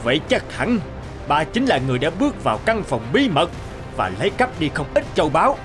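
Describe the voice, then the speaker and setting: A man's voice: fairly high pitch, big pitch swings, fairly quick, over music. A man playing a stern, vengeful fairy-tale figure in a cartoon, speaking in an accusing, menacing way. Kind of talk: animated fairy tale acting.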